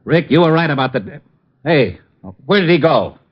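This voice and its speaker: An actor playing an impatient, sarcastic police lieutenant in a gruff manner, in a 1940s radio crime drama. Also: male voice, fairly high pitch, expressive, normal speed. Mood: irritated. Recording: muffled old radio recording.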